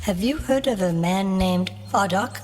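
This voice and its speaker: A woman's voice: medium pitch, unhurried, darkish tone, lightly acted. A woman playing an earnest, eager traveller on a quest in a fantasy audio drama, inquisitive in manner. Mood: hopeful. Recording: long reverb, faint music and birdsong.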